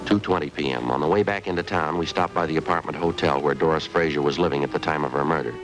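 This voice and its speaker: A man's voice: a bass voice, fast, poor audio. An actor playing a terse male homicide detective who narrates the case in an old radio drama. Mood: narrating. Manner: flat, clipped, matter-of-fact. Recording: old radio recording with music.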